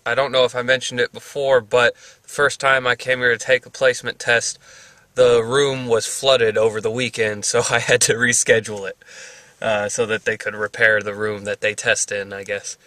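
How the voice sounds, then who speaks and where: A young man films a casual video diary from the driver's seat of his parked car, holding the camera at arm's length. His voice sounds bright and a little tense. He rambles, hesitates, and corrects himself with small chuckles. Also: medium pitch, no room sound. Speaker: vlogger. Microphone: handheld camera at arm's length.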